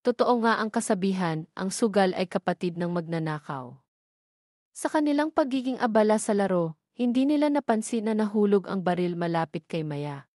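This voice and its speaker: A woman's voice: mid-range voice, darkish tone, no echo. Filipina woman narrating a dramatic story, dry sound.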